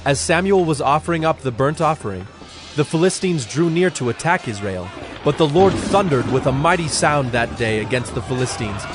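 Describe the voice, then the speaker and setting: A man narrating scripture from a script in a measured, solemn storytelling manner. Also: a little high, expressive, over music.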